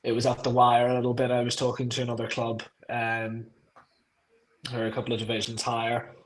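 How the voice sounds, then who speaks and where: A man's voice: medium pitch, monotone, a little fast, poor audio. A young man with a Northern Irish accent, a podcast guest talking in an easygoing, rambling way with frequent ums. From Northern Ireland. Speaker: guest, club marketing staff. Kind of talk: casual podcast interview.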